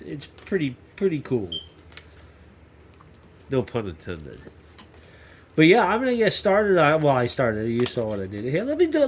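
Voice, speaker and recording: A man's voice: medium pitch, a little hiss or hum. A man with a stuffy nose from a cold, rambling and joking as he hosts a workshop vlog, often cutting himself off mid-sentence. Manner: rambling, self-interrupting, jokey. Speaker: YouTube maker channel host. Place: home workshop.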